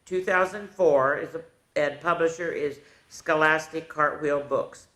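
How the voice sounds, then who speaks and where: A woman's voice: sits low, measured pace, a bit bright. In a roomy, echoing space, an older woman hosts a children's story time. She speaks in a playful, folksy way, breaking from the book for chatty asides to the kids. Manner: playful, folksy, addresses the young listeners directly. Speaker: storytime host reading picture books to children.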